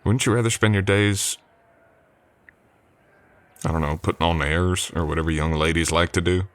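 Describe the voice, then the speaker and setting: A male actor voices a drifter cowboy hired on as a ranch hand in an old west audio roleplay. His voice is low and soft, and he talks straight to the listener in a folksy, courteous way. He comes across as easygoing and a little unsure of his manners. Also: fairly even intonation, normal speed, lightly acted. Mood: thoughtful, curious. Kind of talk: ASMR roleplay acting, addressing the listener.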